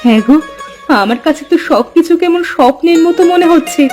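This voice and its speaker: A woman voicing a devoted, long-suffering young farmer's wife in a Bengali cartoon, expressive and plaintive, with a Bangladeshi accent. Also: high voice, brisk. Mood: hopeful. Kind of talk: Bengali cartoon fairy-tale dialogue. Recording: background music under the voice.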